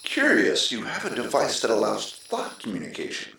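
A man's voice: medium pitch, huge pitch swings, normal speed, creaky. A man playing a curious, self-interested alien in a science fiction radio drama, blunt and calculating in manner. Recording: distant, echoey, noisy.

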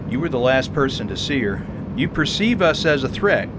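A stern government agent, acted by a man in a radio drama, with a medium-pitched voice. He states things flatly and without humor. Clear rumbling street noise runs behind him. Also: normal speed, lightly acted. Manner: clipped, humorless, deductive. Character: stern, matter-of-fact male government agent profiler. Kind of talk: audio drama acting.

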